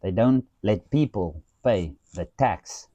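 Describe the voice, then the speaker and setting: A podcast host from South Africa with an Afrikaans accent, telling stories in a casual chat, animated and swearing, often saying "dude". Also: male voice, on the low side, slow, dry recording, highs cut off. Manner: animated, swearing storyteller who says "dude" a lot.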